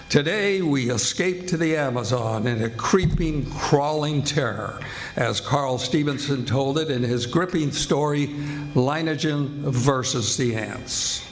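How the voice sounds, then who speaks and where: A man's voice: mid-range voice, lightly acted. Man as an ominous old-time radio announcer. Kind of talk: dramatic scripted 1940s-style radio narration. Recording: live stage show with music.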